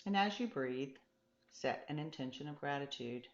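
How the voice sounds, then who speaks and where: Woman voicing a calm, low-voiced meditation guide, roomy sound. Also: normal speed, muffled recording. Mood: reassuring, instructive. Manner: gentle, reassuring, instructive. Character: calm, encouraging, patient meditation teacher and guide. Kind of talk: storybook character dialogue.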